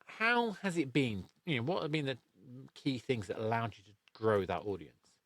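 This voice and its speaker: A man's voice: mid-range voice, very animated, moderate pace. A man hosting a podcast, interviewing a guest in a conversational way. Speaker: podcast host.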